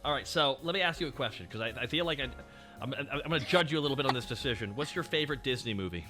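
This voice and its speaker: A man's voice: mid-range voice, rapid-fire, over music. A male streamer chatting casually and doing cartoon voice impressions. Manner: animated, does cartoon impressions. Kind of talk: casual chat.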